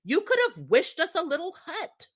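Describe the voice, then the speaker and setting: A woman's voice: very high-pitched, wildly swooping pitch, moderate pace, a bit bright, pitched above the performer's own voice, heavily acted. A woman voicing a nagging, discontented storybook wife with an insistent, wheedling way of talking. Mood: demanding.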